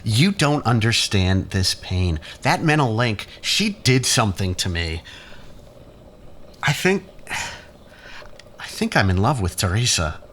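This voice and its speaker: Male actor as exhausted man in withdrawal, stammering, sighing, self-pitying, animated pitch, audio drama, faint background noise. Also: slow, pauses often, heavily acted. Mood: anguished. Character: exhausted, agitated man in withdrawal, pleading and bitter.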